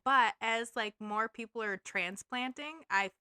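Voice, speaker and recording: A woman's voice: a little high, a little slow, pushed and bright, clear, resonant tone, no echo, studio quality. A woman in her thirties from Maine with a slight Maine accent, co-hosting a podcast in a chatty, filler-heavy way. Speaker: podcast co-host, real estate agent. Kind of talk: casual chat.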